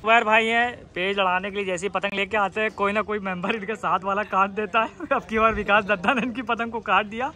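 A man giving rapid, excited live play-by-play of rooftop kite fights and talking to viewers. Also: high voice. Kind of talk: live sports commentary. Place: rooftop outdoors.

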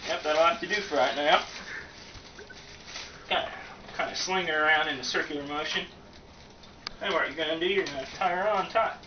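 A man's voice: on the high side, moderate pace, pauses often. A man giving a casual, joking cooking demonstration in a folksy, slangy, rambling way, recorded in a home kitchen. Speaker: host of amateur comedic cooking show. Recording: distant, dull lo-fi, loud background noise.

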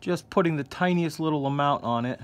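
Man explaining model painting, mid-high voice. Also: fairly quick. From United States. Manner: relaxed step-by-step explaining with fillers. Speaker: hobby shop host. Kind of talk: casual modeling tutorial. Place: hobby workbench indoors.

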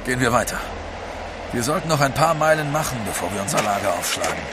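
A man's voice: medium pitch, expressive, fast talker, lightly acted. An actor playing a sober, cautious expedition man, terse and serious, in an audio drama. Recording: clear outdoor background noise.

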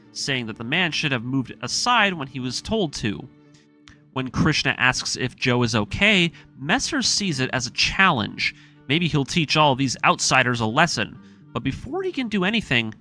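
Animated, bright-voiced man narrating a story. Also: on the high side. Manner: animated storytelling in present tense. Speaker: narrator recapping an anime story. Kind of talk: scripted narration, story recap.

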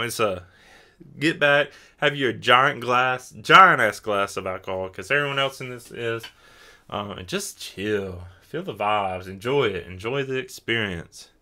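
A man's voice: medium pitch, a little slow, pushed and bright. A young man hosting a podcast, chatting casually in a rambling, self-deprecating way while a little tipsy. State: tipsy from drinking. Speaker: podcast host.

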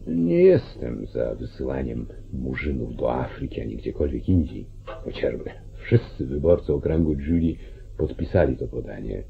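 An actor playing a calculating, commanding judge and politician in an old radio play, speaking deliberately. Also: male voice, a bass voice, sing-song, vocal fry, heavily acted. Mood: scheming, commanding. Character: calculating, domineering small-town judge, older male politician. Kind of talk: mid-century Polish radio play acting. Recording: muffled, narrow-band 1940s radio recording.

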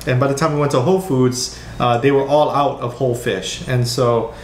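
A man's voice: medium pitch, fairly even intonation, normal speed. A man, giving a casual cooking demonstration in a home kitchen. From Hawaii, US. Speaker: home cook demonstrating a recipe. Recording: roomy kitchen echo with background noise.

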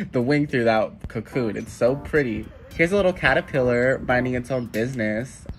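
Young man, stuffy nasal voice, chatty and upbeat, soft music underneath. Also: medium pitch, expressive, normal speed. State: congested, nasal from a cold. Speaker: plant vlogger. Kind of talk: casual plant tour voice-over. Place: indoor room with plants.

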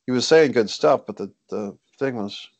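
A man's voice: medium pitch, normal speed, no echo. A man casually moderating an online video call as its host. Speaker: host of an online table read. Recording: dry, slightly dull call audio.

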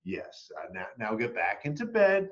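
A man voicing a patient, weary father chicken who scolds gently in a storybook. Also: on the low side, huge pitch swings, normal speed, lo-fi sound. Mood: weary, scolding.

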